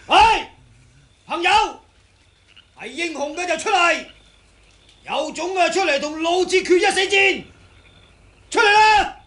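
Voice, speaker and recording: An actor with a Hong Kong Cantonese accent playing a gruff, bossy, hot-tempered martial arts fighter in a radio drama. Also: male voice, very high voice, expressive, unhurried, long pauses, sharp tone, exaggerated voice. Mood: alert, defiant. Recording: old radio drama, faint background noise.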